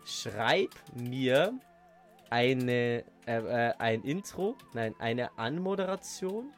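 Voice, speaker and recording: A man hosts a casual podcast in a medium-pitched voice. He is playful, slipping between mock intro reading and jokey, sweary asides. Eerie ambient music plays behind him. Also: animated, drawn-out words. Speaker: podcast host.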